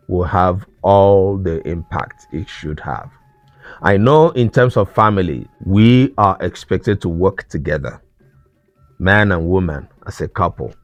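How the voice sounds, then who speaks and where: A man with a Nigerian accent, a native Igbo speaker, giving an earnest talk on culture in slow, deliberate phrases that trail off. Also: lowish voice, dry recording. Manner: slow, deliberate phrasing that trails off at sentence ends, with wry chuckles.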